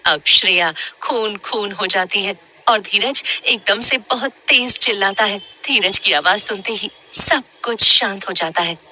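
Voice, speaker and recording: A woman's voice: medium pitch, unhurried, pushed and bright. A woman narrating a scripted horror story as a storyteller.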